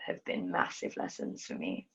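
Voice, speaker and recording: A woman's voice: normal speed, a bit bright, muffled recording. A young woman from Ethiopia with a London accent, talking thoughtfully with many ums and restarts in a conversation. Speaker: dancer and choreographer. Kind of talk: reflective conversation. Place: video call from home.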